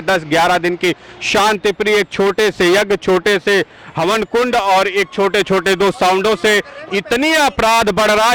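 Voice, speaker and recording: A man from Azamgarh, India, speaking as a campaign organizer in a street interview at a busy road junction, arguing forcefully and firing rhetorical, indignant questions. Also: high voice, rough recording.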